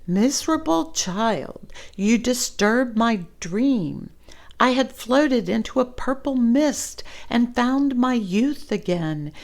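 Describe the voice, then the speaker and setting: A woman's voice: on the high side, expressive, vocal fry, a little mellow, pitched above the performer's own voice, heavily acted. Woman doing a grumpy, dreamy old man. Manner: slow, drowsy, irritable.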